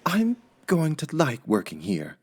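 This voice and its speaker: A man's voice: on the high side, wildly swooping pitch, slightly rough, voice pushed up, heavily acted. A man voicing a cheerful, naive woman housekeeper in a children's storybook, earnest and literal-minded, musing aloud in a folksy way. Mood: pleased. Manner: slow, exclaiming, folksy musing.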